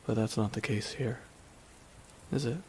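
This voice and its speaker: A man playing a wry, reflective supervillain in an ASMR audio drama, speaking slowly and softly with wistful pauses. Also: mid-range voice, fairly even intonation, a little hiss or hum, close to an everyday voice. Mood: wistful, resigned. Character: reflective male supervillain, wry, quietly softened toward his nemesis. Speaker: voice actor in audio roleplay. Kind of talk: ASMR dramatic roleplay monologue. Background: rain.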